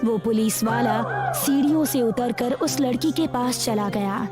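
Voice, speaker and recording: A woman's voice: a little high, very animated, a little slow, over music. A woman narrator reading a scripted horror story.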